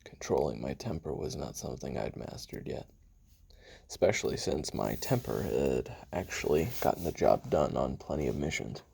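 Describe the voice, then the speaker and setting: Brooding assassin for a confessional audio drama, male actor: rock-bottom male pitch, heavy vocal fry, halting and profane delivery. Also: lively intonation, warm tone, full character voice. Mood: brooding, tense. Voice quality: very deep, gravelly, husky. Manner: halting, casual, profane, with sighs and trailing pauses. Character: brooding, hot-tempered male assassin recording his memoirs. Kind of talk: audio roleplay monologue, confessional reminiscing.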